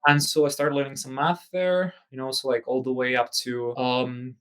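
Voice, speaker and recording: A man's voice: medium pitch, level tone, normal speed, fairly bright tone, clear, resonant tone. A young man in his twenties speaks English with a faint Eastern European accent, his first languages being Czech and Spanish. He talks loosely in a casual interview, circling back on his words, restarting phrases and filling gaps with little hedges. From Czech Republic, raised in Spain. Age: 20s. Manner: rambling, many restarts and fillers. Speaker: guest. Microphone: audio-only remote call.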